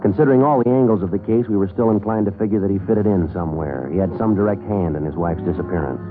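An actor plays a male detective sergeant with a deep, deadpan delivery, reporting facts in short clipped statements. It comes as a muffled fifties radio crime drama, with music behind him. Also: fast talker, lightly acted. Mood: deadpan, businesslike. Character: terse, matter-of-fact male police detective sergeant. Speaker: narrator and lead actor as police detective.

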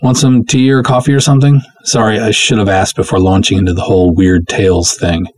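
A man's voice: on the low side, brisk, lightly acted. A man voicing a plucky, jittery young woman who recounts a spooky night in slangy, old-fashioned storytelling style. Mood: sheepish. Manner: animated, slangy, breezy storytelling. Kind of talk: dramatic first-person story reading with 1950s slang.

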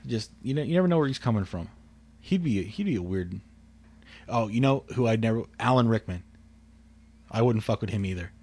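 A man co-hosting a casual podcast chat, talking quietly and calmly, rambling with restarts and swearing. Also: on the low side, brisk, pauses often. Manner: quiet, calm delivery; rambling with frequent restarts and profanity. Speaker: podcast co-host.

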